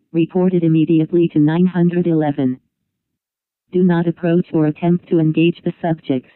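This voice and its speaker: Emergency alert announcer, male, smooth and monotone, formal and impersonal, heard through a narrow phone-like band. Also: on the high side, no room sound. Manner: flat, impersonal, measured. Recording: tinny phone-like radio band.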